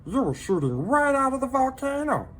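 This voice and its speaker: A small, loyal salamander from a children's storybook, acted out by a reader. He is gloomy and world-weary, speaking high above normal talk with a dramatic, know-it-all air and swooping pitch. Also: sing-song, normal speed, fairly bright tone, slight background noise. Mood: reminiscing.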